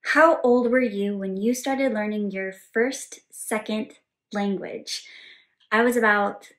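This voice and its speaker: A woman in her thirties, the resident polyglot, answering viewer questions in a clear, explanatory way from a home office. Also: mid-range voice, slow, fairly bright tone. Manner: clear, explanatory, gestures with both hands. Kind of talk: scripted Q&A to camera. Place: home office with bookshelves and world map, indoors.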